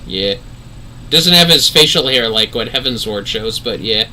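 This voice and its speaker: A man's voice: mid-range voice, expressive, unhurried, pushed and bright, slight background noise, low-quality recording. A man giving casual, sweary and jokey livestream commentary on a game, often exclaiming. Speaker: livestream host.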